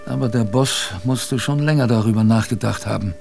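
An actor playing a plain-spoken seaman who recalls a polar shipwreck in a colloquial, halting way, as in a radio drama. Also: medium pitch, vocal fry, a little mellow, soft background music, lightly acted. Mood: sombre, reflective. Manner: colloquial, halting, musing storyteller. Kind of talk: radio drama first-person narration.

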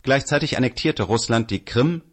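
A man's voice: medium pitch, animated, moderate pace. A man reading a political article aloud as scripted narration. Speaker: reader of an audio article.

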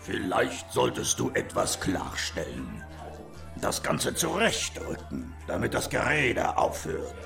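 Gravelly, insinuating low voice. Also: male voice, huge pitch swings, slow, long pauses, a bit bright, distant mic, soft background music, exaggerated voice. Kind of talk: German radio play acting.

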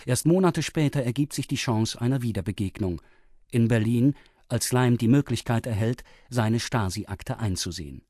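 A man's voice: medium pitch, normal speed, mellow, breathy edge, no room sound, clean studio sound. A man reading a scripted, factual story recap as a radio announcer, formal and precise. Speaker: announcer summarising the story so far.

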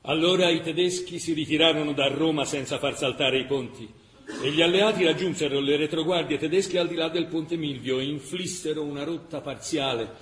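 Actor as grave wartime chronicler, roomy sound. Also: male voice, a little high, fairly bright tone, close to an everyday voice. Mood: measured. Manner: measured, emphatic, with dramatic pauses. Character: grave male chronicler of a besieged wartime city. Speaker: narrator in a radio drama. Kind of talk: dramatic scripted documentary narration. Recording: roomy, echoing radio theatre sound.

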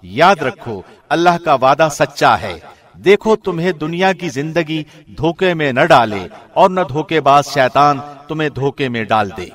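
A man's voice: a little high, animated, fairly bright tone. Solemn male studio narrator. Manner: measured, solemn, formal reading. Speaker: translation reader. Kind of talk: scripted narration. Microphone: studio mic.

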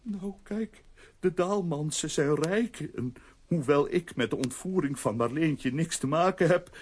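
A man's voice: fairly high pitch, a little slow, a little hiss or hum, lightly acted. An actor playing a flustered, cowardly blackmailer who stammers and whines his innocence in a children's radio play. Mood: pleading. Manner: stammering, whining.

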